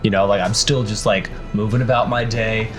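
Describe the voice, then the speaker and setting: A man's voice: on the low side, fairly even intonation, fairly quick. A man chatting and joking casually as a player in a tabletop game. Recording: loud cinematic music underneath.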